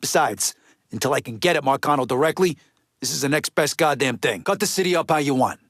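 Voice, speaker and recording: An actor playing a gruff, resentful mob lieutenant in a video game, with an American accent and a blunt, streetwise, foul-mouthed way of talking. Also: male voice, medium pitch, expressive, brisk, fairly bright tone, no room sound, studio quality. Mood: vengeful, then wry, cynical. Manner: blunt, streetwise, heavy swearing.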